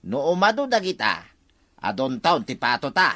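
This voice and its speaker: A man's voice: medium pitch, sing-song, normal speed, pushed and bright. An actor playing a fussy, bantering village man in a Filipino radio comedy drama, with an Ilocano accent.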